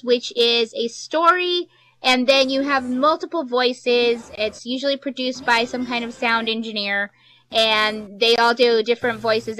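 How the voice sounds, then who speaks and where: Female podcaster, chatty informal teacher, highish pitch, very bright tone. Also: rough recording. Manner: chatty, explains in plain colloquial terms. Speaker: fiction podcaster giving a workshop. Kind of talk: informal instructional talk.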